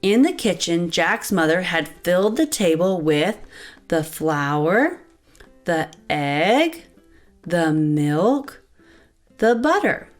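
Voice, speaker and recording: Female children's read-aloud host: expressive storytelling, unhurried delivery, highly varied pitch, faint music underneath. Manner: expressive, addressing child listeners with questions. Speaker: children's book reader and host. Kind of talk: animated read-aloud narration for young children. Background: light background music.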